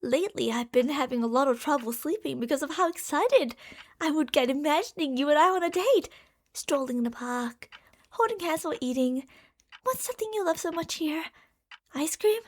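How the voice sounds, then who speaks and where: Girl voice actor doing a yandere stalker gf scientist, really high voice, clingy and gushy, hushed then excited, asmr rp. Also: normal speed. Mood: adoring, eager. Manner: doting, gushing, swinging between hushed and excited. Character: obsessive, delusional yandere girlfriend, a genius scientist from another universe. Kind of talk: ASMR roleplay monologue addressed to the listener.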